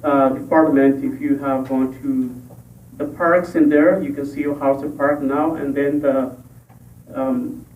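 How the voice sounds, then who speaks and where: Man from Ecuador, halting forum speaker, distant tinny room recording. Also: mid-range voice, little pitch movement, echoey room, a little hiss or hum. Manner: halting, many fillers. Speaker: school board candidate. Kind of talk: public forum speech. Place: library meeting room.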